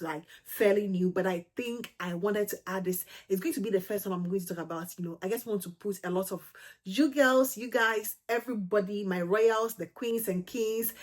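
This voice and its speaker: A woman with a Ghanaian accent hosting her own fragrance channel, chatty and gushing, peppered with "you know" and "um". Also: mid-range voice. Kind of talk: casual fragrance review to camera.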